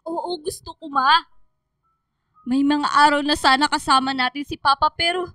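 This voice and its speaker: An actress playing a bitter, hurt young daughter in a radio drama, with a Filipino accent and a confrontational manner. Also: female voice, high-pitched, sharp tone, dry recording. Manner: confrontational, reproachful.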